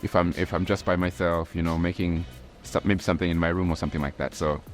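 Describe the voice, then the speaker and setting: A young man from Tanzania, a graphic artist, talking in a relaxed, reflective way with many restarts and fillers. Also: low-pitched, quick pace. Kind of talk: casual interview talk. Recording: faint music underneath.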